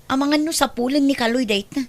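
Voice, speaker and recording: An actress playing a firm, persistent creditor in an Ilocano radio drama. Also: female voice, high voice, fast, darkish tone, close to an everyday voice. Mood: insistent. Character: firm, persistent woman creditor collecting a debt.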